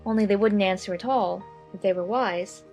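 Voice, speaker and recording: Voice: mid-range voice, normal speed, darkish tone, soft background music, pitched above the performer's own voice, natural voice. A narrator voicing a curious, chatty little girl who muses to herself in a children's audiobook. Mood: musing, chatty. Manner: musing aloud to herself.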